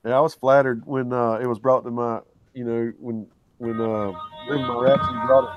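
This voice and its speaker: A man appearing as a guest on a casual online panel, earnest and trailing off softly, on a home computer mic during a video call. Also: mid-range voice, level tone, moderate pace, muffled recording, faint music behind. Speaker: guest YouTuber.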